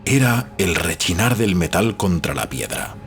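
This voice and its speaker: A man from reads literary fiction as a scripted audiobook narration, in a measured, expressive storytelling manner. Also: low-pitched. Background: background music.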